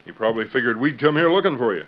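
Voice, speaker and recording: A man's voice: medium pitch, expressive, very fast, close to an everyday voice. A stern, plainspoken western lawman, acted by a man in a radio western, talking in folksy, matter-of-fact cowboy speech. The old recording sounds dull and muffled. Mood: skeptical. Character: plainspoken, stern but regretful western jail guard. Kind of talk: 1940s radio western acting.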